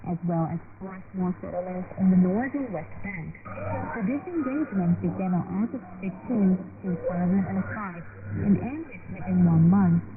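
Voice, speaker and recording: A woman's voice: on the low side, expressive, normal speed, narrow, tinny audio, very noisy. A woman reporter reading a scripted news report on a shortwave radio broadcast.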